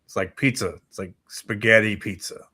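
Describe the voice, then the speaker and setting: An American man with a fairly low voice talks as co-host of a casual morning podcast. His manner is easygoing and conversational. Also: fairly even intonation, normal speed, bright, forceful tone, breathy edge. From United States. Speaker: talk show co-host.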